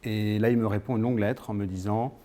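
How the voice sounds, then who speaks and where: Low-pitched Frenchman telling his story, hesitant. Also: fairly even intonation, fast, dark tone. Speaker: guest speaker. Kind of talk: personal career-story talk to an audience.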